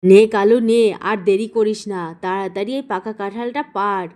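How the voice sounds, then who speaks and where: A woman's voice: mid-range voice, fairly even intonation, moderate pace, mellow, close to an everyday voice. A woman voicing a blustering, greedy male tiger chief who scolds in a children's cartoon story. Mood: gleeful, greedy.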